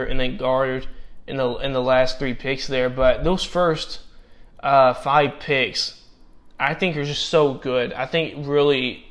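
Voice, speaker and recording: Young male commentator, mid-pitch, voice going hoarse, halting and full of fillers, casual draft breakdown. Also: fairly bright tone. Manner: halting, many fillers and restarts. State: losing his voice. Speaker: football draft analyst. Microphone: personal microphone.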